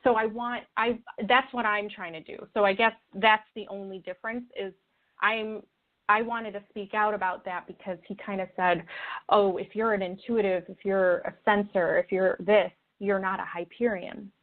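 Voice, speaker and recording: A woman with a mid-pitched voice comes through a narrow, phone-like connection. She talks earnestly as an interview guest, in a reflective, halting way, often rewording as she goes. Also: fairly even intonation. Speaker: interview guest, spiritual video creator. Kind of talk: earnest conversational interview talk. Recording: tinny phone-like call audio.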